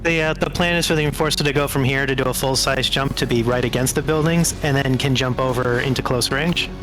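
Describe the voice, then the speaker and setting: A man's voice: medium pitch, fairly even intonation, fairly quick, low-quality recording. A man chatting casually as a player in a tabletop game. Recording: music behind the voice.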